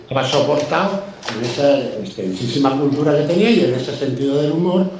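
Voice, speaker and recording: A man's voice: fairly high pitch, expressive, fairly quick. Very echoey, distant-miked Spanish man chatting. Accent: Spain. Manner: effusive, colloquial, sweary. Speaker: guest. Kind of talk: casual anecdotal chat. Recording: very echoey room, distant mic, faint noise.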